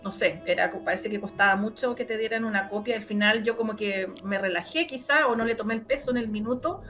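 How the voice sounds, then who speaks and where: A woman's voice: medium pitch, quick pace, fairly bright tone, faint music behind. A Chilean woman answering interview questions conversationally, emphatic and repeating phrases for stress. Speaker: interviewed radio drama creator.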